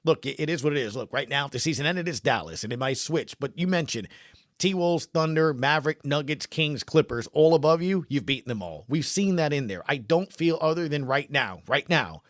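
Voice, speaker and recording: A man's voice: on the high side, lively intonation, brisk, no echo, studio quality. A man hosting a sports podcast in casual talk, speaking emphatically in short punchy phrases and often repeating himself for stress.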